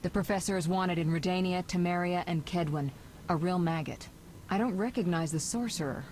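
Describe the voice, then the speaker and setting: An actress playing a composed, watchful sorceress in a video game, speaking in terse, matter-of-fact phrases. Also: female voice, on the low side, fairly quick, background noise, close to an everyday voice. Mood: cool, watchful. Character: composed sorceress assessing enemies, cool and watchful. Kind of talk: video game cut-scene acting.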